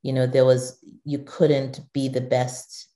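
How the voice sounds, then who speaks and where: A middle-aged woman from Nigeria answers interview questions in a low, mellow voice. She speaks thoughtfully, circling back and restarting as she works out her points. The sound has an echoey, roomy quality. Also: flat delivery, slow pace. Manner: thoughtful, with frequent restarts and fillers. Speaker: guest, digital executive. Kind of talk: conversational interview.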